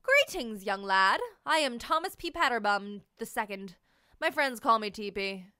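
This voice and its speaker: A woman voicing a courtly, kindly toilet-paper gentleman in a children's storybook, with a formal, pompous manner. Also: fairly high pitch, animated, moderate pace, smooth, no room sound, studio quality. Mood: grandly polite.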